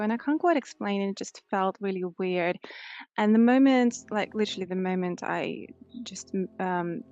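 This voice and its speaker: A woman's voice: medium pitch, darkish tone, dry recording, dull audio. A woman in her thirties with a Russian accent, answering conversationally as a life coach in an interview. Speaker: life coach, podcast guest.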